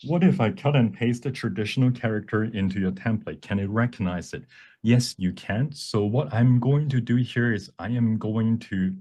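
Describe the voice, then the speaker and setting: A man with a low voice leads a live workshop demonstration on his computer. He explains step by step, haltingly, as he works through the material. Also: warm tone. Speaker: workshop presenter. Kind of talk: live software demonstration talk. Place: online presentation at a computer.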